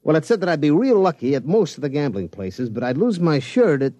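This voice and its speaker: A man's voice: on the high side, very animated, a little fast, mellow, no echo, lo-fi sound. An actor playing a chatty, streetwise henchman of a gambling boss, rambling in slangy bad grammar in an old-time radio comedy. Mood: chatty, impressed.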